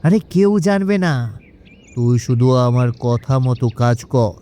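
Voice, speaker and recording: A man voicing a boastful, scheming cartoon trickster from a village, out for quick money, with a wheedling, dismissive way of talking. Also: medium pitch, lively intonation, warm tone, lightly acted. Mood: scheming glee. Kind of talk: animated cartoon dialogue.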